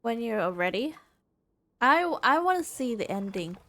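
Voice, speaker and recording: A woman live-streaming a game, casually reacting and thinking aloud in halting bursts. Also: a little high, very animated, fairly bright tone, no echo. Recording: game music behind the voice. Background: game music.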